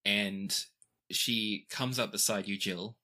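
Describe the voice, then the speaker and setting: Bright-voiced man narrating a tabletop game. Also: lowish voice, steady tone, slow. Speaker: game master.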